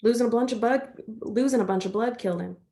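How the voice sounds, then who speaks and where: A woman's voice: on the high side, fast, warm tone, close to an everyday voice. Woman acting a loyal country girl in a play reading, folksy, earnest, always reasoning things out to reassure.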